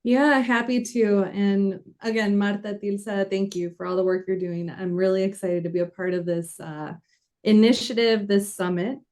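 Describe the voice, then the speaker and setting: A woman in her thirties from the Chicago area talks as a guest attorney on a podcast. She explains earnestly and steadily at a middle pitch, now and then restarting a phrase. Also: fairly even intonation, normal speed, a little mellow. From Chicago area, United States. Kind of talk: podcast interview.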